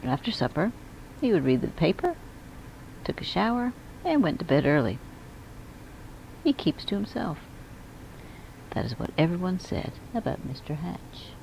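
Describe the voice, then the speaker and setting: A woman reading a children's picture book aloud in an expressive storytelling manner. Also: fairly low pitch, normal speed, vocal fry, long pauses, a little hiss or hum, poor audio. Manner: expressive, acts out dialogue and emphasis. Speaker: school media specialist reading aloud.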